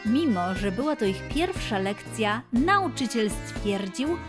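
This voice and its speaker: A woman narrating a scripted children's fairy tale in an expressive, measured way. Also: a little high, music in the background. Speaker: storyteller.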